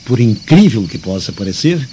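A man's voice: on the low side, big pitch swings, normal speed, dark tone, a little hiss or hum. An actor playing a courteous, suspicious Roman nobleman in a radio drama, formal and confiding. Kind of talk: old-fashioned radio drama acting. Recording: dull lo-fi radio drama with music.

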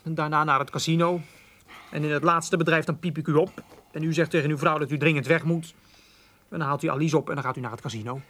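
An actor playing a cheeky young postroom lad who acts the boss in a radio play, polite one moment and patronising the next. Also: male voice, on the high side, fairly even intonation, fast, fairly bright tone. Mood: meek cheek. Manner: hesitant, polite, then pompous and patronising.

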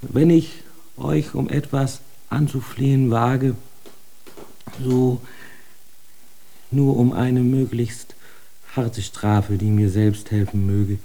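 Reumütiger Angeklagter, langsam, sehr weiche, dunkle Stimme. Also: male voice, mid-range voice, fairly even intonation, slow, vocal fry, pauses often, dark tone. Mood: contrite, self-abasing. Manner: submissive, formal, self-abasing confession. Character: humbled accused man confessing to the Inquisition. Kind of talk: German radio drama acting.

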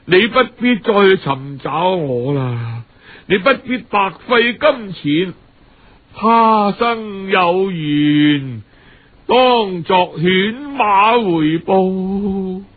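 A man's voice: high-pitched, animated, narrow, tinny audio, exaggerated voice. A man voicing a despairing, remorseful husband's farewell letter in an audiobook, mournful and theatrical. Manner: slow, mournful, theatrical sighing delivery.

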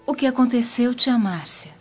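An actress playing a sweet, devout young Roman granddaughter in a radio drama, with a husky edge. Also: female voice, a little high, expressive, moderate pace, darkish tone. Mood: anxious. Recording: dull lo-fi radio drama audio. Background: music.

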